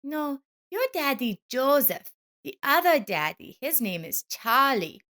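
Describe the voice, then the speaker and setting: A woman's voice: sits high, sing-song, slow pace, pushed and bright, voice pushed up, heavily acted. A woman voicing a small, earnest and affectionate boy who speaks simply and sweetly in a narrated story. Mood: innocent, chatty. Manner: simple childlike speech, solemn and sweet.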